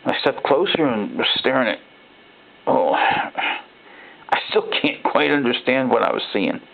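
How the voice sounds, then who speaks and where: Through a thin, phone-like sound comes a frightened ordinary man , acted by a male narrator, recounting a horror story in the first person. His medium-pitched voice is creaky and gravelly. He speaks haltingly, with rattled pauses, like a survivor leaving a warning. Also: very animated, full character voice. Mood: shaken, hushed.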